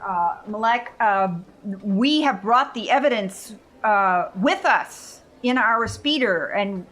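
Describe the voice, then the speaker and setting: A woman's voice: medium pitch, fairly bright tone. Woman improvising at a tabletop game, noisy recording. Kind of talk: casual in-character role-play and table talk.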